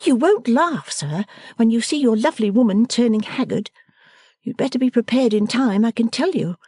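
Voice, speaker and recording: A woman's voice: fairly high pitch, lively intonation, moderate pace, dark tone, husky, no echo, clean studio sound, voice pushed up. A woman reader voicing a playful, teasing young woman who talks in an emphatic, theatrical way.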